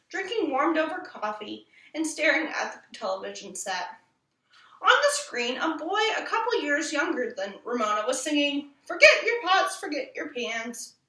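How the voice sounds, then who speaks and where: A woman reading a children's book aloud in an expressive, animated storytelling manner. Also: high-pitched. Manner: animated, acts out the quoted lines. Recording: roomy echo, distant from the mic.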